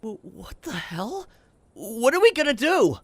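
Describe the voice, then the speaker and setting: A woman playing an earnest, loyal sidekick in an audio drama, soft and casual. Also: on the high side, big pitch swings, unhurried, breathy edge, no room sound, heavily acted. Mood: worried. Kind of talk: post-apocalyptic audio drama acting.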